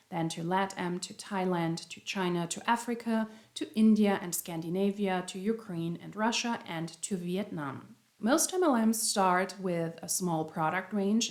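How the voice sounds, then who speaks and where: Nasal woman with a cold, roomy echo. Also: medium pitch. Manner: chatty, self-deprecating, explains step by step. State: has a cold, slightly nasal. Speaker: YouTube commentary host. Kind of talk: casual explainer commentary.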